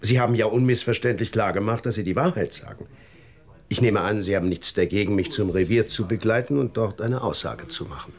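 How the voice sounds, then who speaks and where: An actor playing a dry police inspector in a radio drama, formally questioning with sardonic jabs. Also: male voice, on the low side, a little fast, lightly acted. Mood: official, cool.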